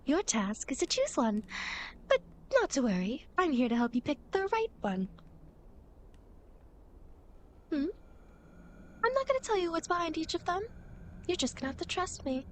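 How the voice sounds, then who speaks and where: A woman's voice: high-pitched, big pitch swings, normal speed. A woman playing a playful, teasing demon girl who guides dead souls, in a coy, dramatic ASMR roleplay. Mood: coy, coaxing. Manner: coy, mischievous, mock-sympathetic, dramatic. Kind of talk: ASMR roleplay, acted monologue to the listener.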